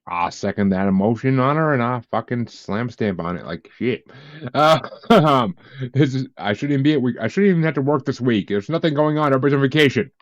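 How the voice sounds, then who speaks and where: A man's voice: medium pitch, animated, a little fast, no room sound. A man hosting a podcast in a casual chat, joking, laughing and swearing freely. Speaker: podcast host. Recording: dull, lo-fi, dry sound.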